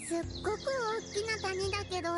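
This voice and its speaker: A woman voicing an excitable, cheerful little girl mage in a Japanese anime game, bubbly and childlike. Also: very high-pitched, quick pace. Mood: excited, eager. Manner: bubbly, childlike, speaks of herself by name. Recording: music behind the voice.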